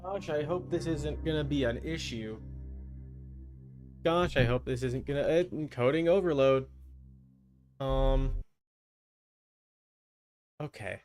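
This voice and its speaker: A man's voice: mid-range voice, slow pace, pauses often, darkish tone. Casual man livestreaming, music behind. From Canada. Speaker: game livestreamer using an avatar. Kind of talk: casual livestream chat, thinking aloud.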